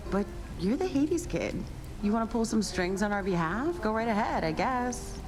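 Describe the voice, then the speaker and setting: A woman's voice: mid-range voice, sing-song, normal speed, music in the background, lightly acted, low-quality recording. A woman playing a skeptical, easygoing dead shade in a video game, talking in a casual, folksy, sarcastic way. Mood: wry, skeptical. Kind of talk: video game character dialogue.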